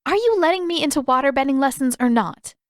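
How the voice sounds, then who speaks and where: A woman acts out a fiery teenage girl from a fantasy storybook. Her voice rises high and pushes forward with indignation. She sounds challenging and sharp, recorded close and dry. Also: lively intonation, quick pace, dry recording. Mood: demanding. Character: defiant, angry teenage girl waterbender.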